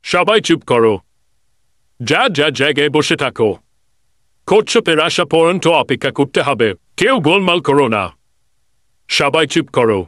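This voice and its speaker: A man's voice: fairly high pitch, animated, a little slow, pauses often, a bit bright, no room sound, clean studio sound. A man voicing a cartoon race organizer who announces the race and keeps the crowd in order. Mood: stern. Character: bossy male race organizer of the forest. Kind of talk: cartoon voice acting.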